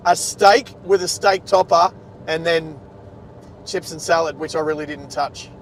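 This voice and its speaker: Higher-pitched Australian man chatting. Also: measured pace, low-quality recording. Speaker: livestream host, driver. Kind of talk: casual chat. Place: inside a moving car, livestream. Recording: car rumble and road noise.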